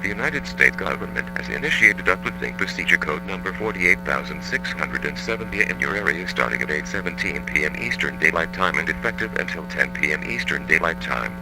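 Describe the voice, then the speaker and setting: A man's voice: extremely low pitch, animated, fairly quick, vocal fry, few pauses, poor audio. A man reading a scripted government emergency announcement in a formal, impersonal manner. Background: loud music.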